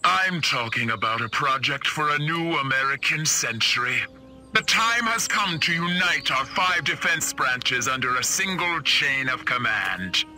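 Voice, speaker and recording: A cold secret-agency chief in a video game, his voice extremely bright and hard-edged over faint music. He speaks with measured, menacing authority at a middle pitch. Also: animated. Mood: smooth, assertive. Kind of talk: 1950s-set video game cutscene acting.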